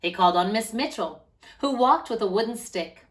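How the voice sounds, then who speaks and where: A woman reads a storybook aloud for young children. Her pitch moves up and down a great deal, giving the narration an animated, lilting, expressive feel. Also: moderate pace. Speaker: children's read-aloud host.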